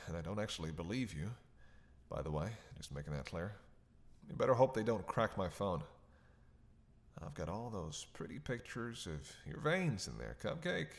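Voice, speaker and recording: A man's voice: lowish voice, gravelly, heavily acted. A man playing a captive vampire in a dramatic roleplay, witty, flirtatious and menacing, with a sarcastic, teasing drawl. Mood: flirtatious coaxing. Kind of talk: dramatic roleplay monologue to the listener.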